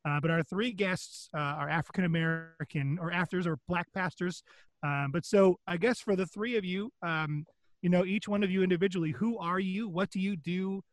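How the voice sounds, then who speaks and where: A man's voice: high voice, moderate pace, no room sound. A man co-hosting a podcast, chatting casually with frequent ums and uhs. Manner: upbeat, many ums and uhs. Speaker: podcast co-host. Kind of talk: casual chat.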